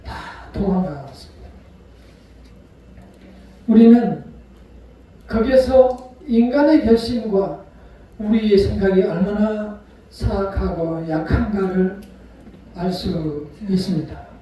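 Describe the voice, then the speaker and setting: A male pastor preaching a sermon, retelling a story with acted-out voices and sharp swings between loud and soft. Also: high voice, slow pace, pauses often. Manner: storytelling, acts out quoted voices. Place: church sanctuary. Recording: echoey hall from far off.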